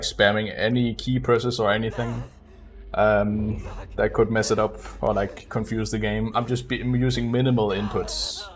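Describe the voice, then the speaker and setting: A man giving casual live gameplay commentary as a speedrunner, muttering and thinking aloud. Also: medium pitch. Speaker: speedrunner streaming a video game.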